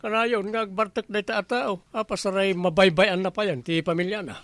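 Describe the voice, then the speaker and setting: A man's voice: high-pitched, steady tone, a little fast, a bit bright, no room sound. A man with an Ilocano accent acting as a teasing, exasperated village captain in a radio comedy drama. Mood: firm, explaining. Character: male village captain testing recruits for watchman, bantering, exasperated.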